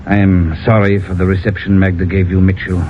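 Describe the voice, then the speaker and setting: An actor playing a proud, stern village leader with a formal, authoritative delivery in old radio drama style. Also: male voice, deep voice, level tone, fairly quick, close to an everyday voice. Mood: courteous, formal. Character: proud, stern, brave village leader, rugged younger man. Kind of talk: 1950s radio drama acting. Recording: muffled old radio broadcast, faint hiss.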